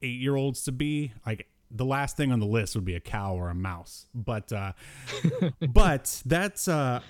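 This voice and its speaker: A man's voice: mid-range voice, animated, a little slow, no room sound. A man hosting a podcast, chatting casually in a joking, rambling, informal way. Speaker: podcast host. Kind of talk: casual pop-culture podcast banter.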